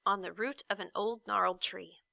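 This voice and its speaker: Bright-voiced woman reading a storybook aloud. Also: mid-range voice, fairly even intonation, moderate pace, creaky, no room sound. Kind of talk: expressive children's book narration.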